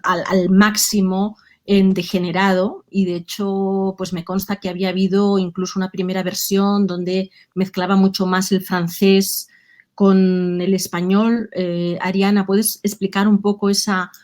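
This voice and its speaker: A woman moderating a literary discussion, measured and somewhat halting as she frames her questions. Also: mid-range voice, flat delivery, darkish tone. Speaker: panel moderator.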